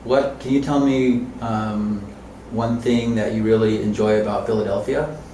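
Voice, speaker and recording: A man interviewing a student, patient and soft-spoken with gentle murmured backchannels. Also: fairly low pitch, steady tone, moderate pace, noisy background. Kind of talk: casual interview. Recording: roomy, distant mic.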